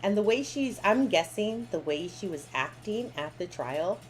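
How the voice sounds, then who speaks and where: A woman's voice: medium pitch, expressive, normal speed, a little hiss or hum, low-quality recording. A woman co-hosting a live stream, giving blunt, opinionated commentary in a casual way. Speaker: co-host.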